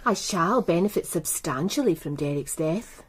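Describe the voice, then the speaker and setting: Cool, low-voiced femme fatale. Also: female voice, expressive, breathy edge. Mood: businesslike, guarded. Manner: poised, knowing, measured. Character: cool, seductive, ambitious businesswoman, self-assured. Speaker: actor in a radio crime drama. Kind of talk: radio drama acting.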